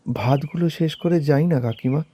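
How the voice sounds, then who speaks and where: A man's voice: fairly high pitch, brisk, mellow, husky. A man voicing a timid, obedient young orphan nephew in a Bengali cartoon. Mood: meek, pleading. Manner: timid, deferential. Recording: plucked-string music behind the voice.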